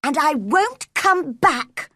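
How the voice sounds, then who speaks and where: A fierce, cross young girl snapping in a high, lively voice, as a woman puts her on. The storybook reading sits in a dry, close room. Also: slow, no room sound, full character voice. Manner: cross, sharp, shouting.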